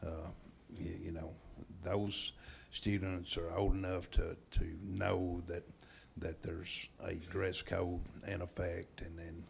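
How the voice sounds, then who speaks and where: Deep gravelly man, halting. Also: level tone, slight background noise. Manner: halting, repeats words. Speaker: school board member. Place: school board meeting room.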